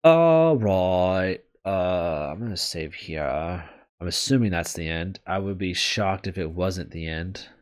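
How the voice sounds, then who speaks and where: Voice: low voice, lively intonation, slow. A video game streamer reading a visual novel aloud and commenting casually, in a candid, self-deprecating, apologetic way with some swearing.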